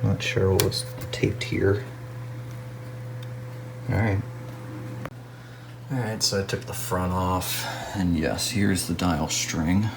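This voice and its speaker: A man narrating a casual hands-on restoration video as a hobbyist, musing aloud to himself in an unhurried way. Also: low voice, steady tone, gravelly, noisy background.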